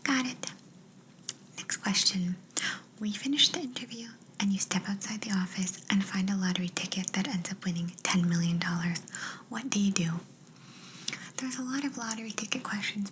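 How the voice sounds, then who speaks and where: A woman's voice: medium pitch, moderate pace, a bit bright, a little hiss or hum, rough recording. A woman whispering breathily and playfully as a quirky job interviewer in an ASMR roleplay, close to the microphone. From Canada. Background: writing sounds.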